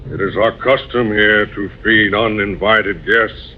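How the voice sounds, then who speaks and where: A man's voice: on the low side, steady tone, measured pace, lightly acted. An actor playing an ominous, solemn servant of a desert king in a 1940s style radio drama, making portentous pronouncements. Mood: solemn menace. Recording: muffled, hissy old radio recording.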